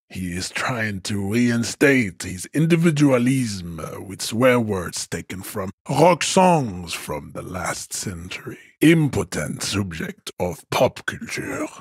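Slow, husky, pompous strongman. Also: on the low side, dry recording, studio quality, full character voice. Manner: pompous, declamatory, condescending. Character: haughty, towering male race-theorist strongman, contemptuous and grandiose. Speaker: video game voice actor. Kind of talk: scripted video game character dialogue.

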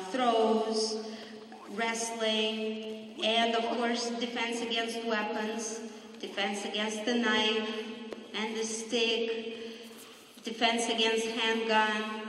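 A woman giving calm, steady live commentary at a martial arts demonstration, with frequent pauses and ums. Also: a little high, a little hiss or hum. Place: large gym hall. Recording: echoey hall, far from the mic.

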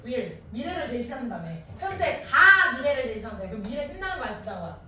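High, bright-voiced woman teacher in echoey room. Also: quick pace. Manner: animated, quizzing, informal, often prompting with questions. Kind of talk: classroom grammar lesson with questions to students. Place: indoor classroom at a chalkboard. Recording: echoey classroom from a distance, faint noise.

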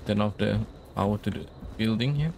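A man's voice: on the low side, brisk, vocal fry, darkish tone, slight background noise. A man giving casual let's play commentary, thinking aloud and reading out instructions as he goes. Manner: murmured thinking aloud, reads on-screen instructions. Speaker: gaming video host.

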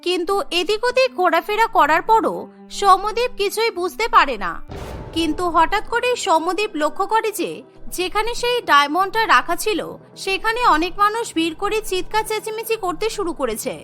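Very high, bright woman storyteller. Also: animated, a little fast, faint music behind. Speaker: narrator. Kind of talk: scripted cartoon story narration.

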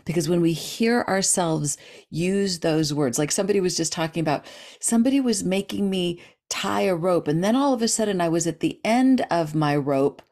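A middle-aged woman talking casually as a dream expert on a video call, animated and gesturing as she explains. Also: on the low side, normal speed. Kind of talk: casual interview chat.

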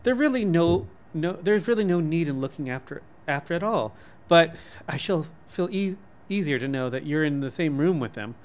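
A man's voice: fairly high pitch, lively intonation, moderate pace, few pauses, lightly acted. Breezy, cheerful wife read by a man. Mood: breezy, reassuring. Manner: breezy, reassuring. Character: brisk, cheerful wife and mother.

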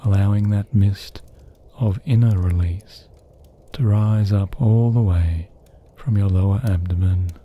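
A man narrating a guided sleep meditation in a soft, breathy, soothing voice, gently hushed, with long pauses between phrases. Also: on the low side, steady tone, muffled recording, a little hiss or hum.

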